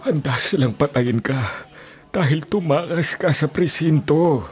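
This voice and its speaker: A man's voice: fairly high pitch, a little slow, husky. An actor with a Filipino accent playing a firm but loving family man in an earnest, dramatic radio drama style. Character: earnest male relative of a wounded fugitive, firm but loving.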